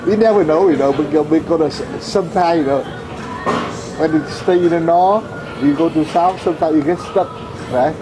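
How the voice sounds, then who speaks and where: An older man from Vietnam, a former air force officer, recounting his past in an interview with halting speech full of fillers and tag questions. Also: a little high, background noise. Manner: halting, many fillers and "you know, right?" tags. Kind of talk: oral history interview.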